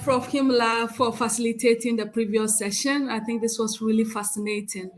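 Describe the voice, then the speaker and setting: A woman with a Ghanaian accent chairs a conference session and reads out a speaker's biography, with frequent hesitant pauses. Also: a little high. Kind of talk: conference moderation, reading a speaker bio.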